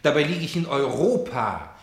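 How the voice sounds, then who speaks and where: An actor playing a solemn narrator in a German radio play, speaking with measured declamation. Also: male voice, mid-range voice, big pitch swings, echoey room. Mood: solemn, sorrowful. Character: narrator turned player, voicing cities, streets and houses.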